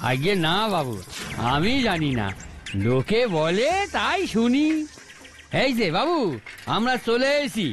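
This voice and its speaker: A man's voice: high-pitched, expressive, a little slow, pauses often, a bit bright. A man voicing a humble, superstitious local driver in a cartoon, deferential and gossipy. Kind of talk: exaggerated cartoon dialogue.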